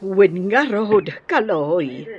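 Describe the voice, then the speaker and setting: A woman's voice: mid-range voice, animated, very slow, lo-fi sound, a little hiss or hum. An actress with an Ilocano accent playing a doting, hopeful middle-aged mother in a radio drama.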